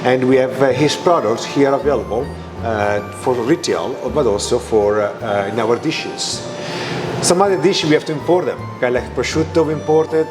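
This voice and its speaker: Italian-accented restaurant owner, halting answers, music underneath. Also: male voice, mid-range voice. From Italy. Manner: halting, many fillers and restarts. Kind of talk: interview answers.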